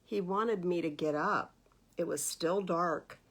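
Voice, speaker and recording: A woman's voice: fairly low pitch, slow pace, fairly bright tone. A woman reading a picture book aloud for children at storytime, expressively and with questions to her listeners. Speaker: librarian reading stories to children. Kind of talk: read-aloud children's storytime narration with asides.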